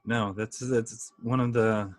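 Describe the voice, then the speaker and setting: Man, deep bass voice, low pitch, very slow, halting, dry sound, casual host. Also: steady tone, dry recording. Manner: halting, many fillers and restarts, laughs often. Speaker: podcast host. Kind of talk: casual interview chat.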